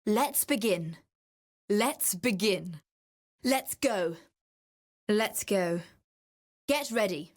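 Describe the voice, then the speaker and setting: Video game lady explorer, keen n wry, slow measured talk, kinda confiding and dryly playful, mid pitch. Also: lively intonation. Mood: eager. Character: keen, wry woman explorer and treasure-hunting ritualist. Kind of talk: scripted video game voice acting.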